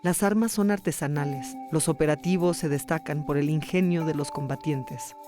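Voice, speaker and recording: A woman's voice: low voice, normal speed. A woman hosting and narrating a documentary podcast in a scripted storytelling style. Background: music under the voice.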